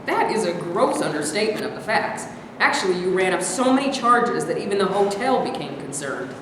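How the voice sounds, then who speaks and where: A woman's voice: on the low side, sing-song, fairly quick. Woman acting a scornful critic in echoey hall. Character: scornful, blunt woman mocking a man's self-deceptions. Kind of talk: staged play reading. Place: live staged reading in a reverberant room. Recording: very echoey hall with clear background noise.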